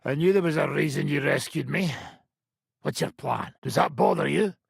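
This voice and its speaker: An actor playing a foul-mouthed, swaggering mercenary gang leader in a video game, brash and sardonic, with a raspy, gravelly voice. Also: male voice, on the high side, moderate pace, dry recording, clean studio sound, full character voice. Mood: amused, wry. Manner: brash, sardonic, commanding, swearing freely. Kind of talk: game dialogue, coarse medieval banter and battle shouts.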